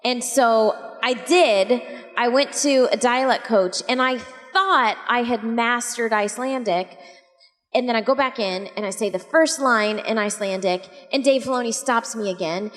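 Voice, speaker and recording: A woman voice actor on a convention panel, telling stories in a lively, expressive way with quoted dialogue. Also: a little high, normal speed. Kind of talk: animated anecdote telling. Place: large convention hall. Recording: echoey convention hall.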